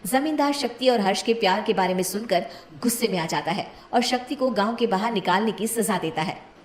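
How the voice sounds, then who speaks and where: A woman's voice: mid-range voice, brisk. Echoey woman narrating a cartoon story.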